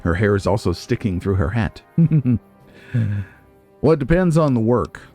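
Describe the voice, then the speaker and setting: A man hosting a let's play with casual, musing commentary, as if thinking out loud to himself. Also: on the low side, very animated, a little slow, soft background music. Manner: musing, nitpicking, talks to himself.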